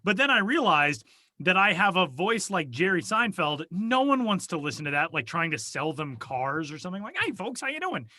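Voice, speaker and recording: A man's voice: high-pitched, sing-song, moderate pace, bright, forceful tone, no echo. A man co-hosting a podcast, chatting casually and joking about himself, recorded in a small soundproofed closet studio. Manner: rambling, self-deprecating, joking. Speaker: podcast co-host. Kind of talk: casual chat. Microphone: home studio mic over video call.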